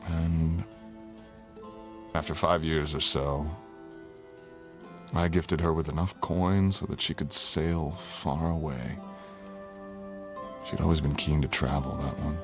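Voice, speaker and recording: A man's voice: rumbling low, steady tone, takes it slowly, pauses often, heavily acted. A man playing a courtly, teasing, tender dragon king in human form, speaking intimately as a lover in an ASMR roleplay. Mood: candid, wry. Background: music.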